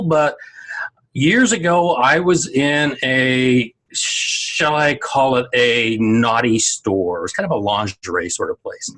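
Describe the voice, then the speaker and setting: A man's voice: mid-range voice, a bit bright. A middle-aged man giving an impromptu speech on an online call, a joking, self-deprecating storyteller. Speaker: Toastmasters speaker. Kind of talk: impromptu table topics speech. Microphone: online video call.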